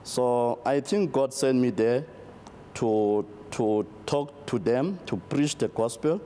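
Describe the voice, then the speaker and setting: A middle-aged South African man, a pastor, answering interview questions slowly with many fillers and restarts, recorded in a TV studio on a lapel mic. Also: mid-range voice, dark tone, a little hiss or hum.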